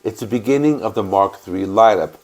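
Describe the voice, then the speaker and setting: Man, stuffy from a cold, somewhat low voice, casual music-channel host, matter-of-fact. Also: brisk, darkish tone. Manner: plain, matter-of-fact explaining with fillers. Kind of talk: casual record review and band history.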